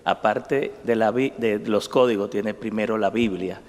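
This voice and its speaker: A man, a legislator asking formal, halting, ceremonious questions at a hearing. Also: lowish voice, fairly even intonation, darkish tone. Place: large hearing chamber. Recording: long reverb in a hall.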